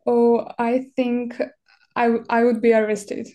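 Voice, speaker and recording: This is a young woman who answers questions in English, stopping often with small fillers while she hunts for the right word. Her voice sits fairly high yet sounds soft and mellow. She speaks in a plain, earnest way. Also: flat delivery. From Crimea, Ukraine. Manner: halting, searching for words with many fillers. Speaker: activist guest. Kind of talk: interview answers in a second language.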